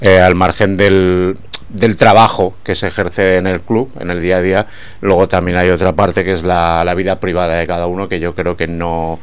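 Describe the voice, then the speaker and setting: A man talking as a sports pundit in a casual panel, measured and agreeing with the others. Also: low-pitched, flat delivery, clear, resonant tone.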